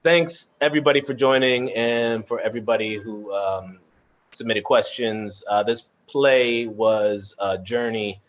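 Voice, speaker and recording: Low-voiced man hosting, slightly muffled sound. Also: fairly even intonation, a little slow. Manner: hesitant, rambling, informal. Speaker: host of a theatre chat. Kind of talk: casual moderated online chat.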